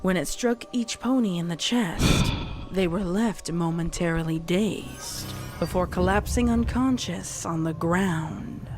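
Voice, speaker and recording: A woman's voice: on the low side, a little slow, hardly any pauses. A woman reading a dramatic scripted story as its narrator. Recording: music behind the voice.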